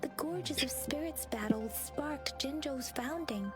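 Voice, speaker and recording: Game role: scholarly young female investigator, high voice, musing and inquisitive, under clear music. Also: slow pace. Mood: explanatory. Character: thoughtful, scholarly young woman investigating old archives. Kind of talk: video game voice acting. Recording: loud game music behind.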